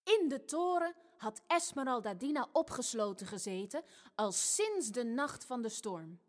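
An actress playing a storyteller in a theatrical fairy-tale radio play for families. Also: female voice, on the high side, very animated, a little slow. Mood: dramatic, storytelling. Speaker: narrator. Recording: long hall reverb.

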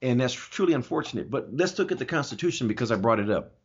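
A man with a husky voice hosting a talk show, explaining his opinions emphatically and posing rhetorical questions in a conversational way. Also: medium pitch, expressive, fast, a little hiss or hum. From Germany. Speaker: talk show host answering listener questions. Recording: dull, lo-fi audio.

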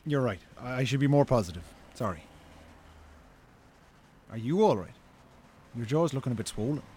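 An actor with an Irish accent playing a reproachful, level-headed brother in a radio drama, measured and blunt. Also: male voice, medium pitch, lively intonation, dark tone, slightly rough. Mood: conciliatory. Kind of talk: naturalistic radio drama acting.

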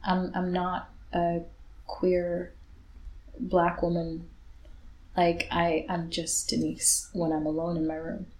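Young woman, lowish steady voice, reflective candid chat. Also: slow, poor audio. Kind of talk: candid conversation.

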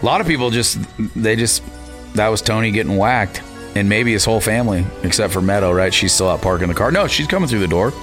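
A man's voice: on the low side, fast talker, music in the background. A man in his forties co-hosting a podcast in casual chat, laid-back with dry teasing. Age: 40s. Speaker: podcast co-host.

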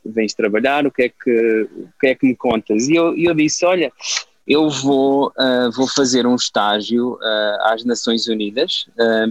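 A man's voice: medium pitch, dry recording, poor audio. A man in his thirties from Portugal with a European Portuguese accent, answering an interview in a hesitant way with many fillers and restarts. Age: 30s. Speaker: interview guest. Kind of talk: casual conversational answers.

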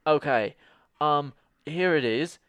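A man in an improv comedy scene playing a cocky, clueless American who pitches a spy movie, rambling and brash and talking over people. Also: slow pace, a bit bright, clear, resonant tone, dry recording.

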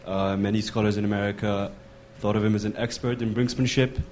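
A man's voice: on the low side, fairly even intonation, brisk, a little mellow, a little hiss or hum. A man in a lecture audience asking a long question, hesitant with many fillers. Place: lecture hall.

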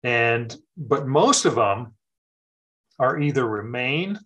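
Man, middle-aged, mid-pitched voice, thoughtful, halting with fillers, casual faith talk. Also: expressive, sharp tone. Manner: thoughtful, many fillers and restarts.

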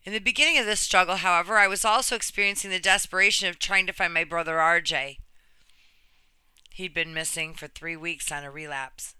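Bright, clear woman reading aloud. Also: on the low side, fairly even intonation, a little fast, no room sound. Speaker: reader of another person's true story. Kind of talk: reading a personal written story aloud.

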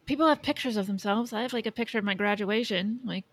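A woman's voice: medium pitch, quick pace. A woman co-hosting a podcast, discussing films casually with a blunt, dry manner. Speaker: podcast co-host.

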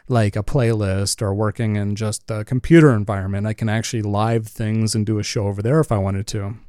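A man's voice: on the low side, dark tone, smooth, dry recording. A man hosting a casual solo podcast from his home studio, rambling with many fillers. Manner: rambling, full of "you know" fillers. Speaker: podcast host and music producer. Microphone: studio condenser microphone.

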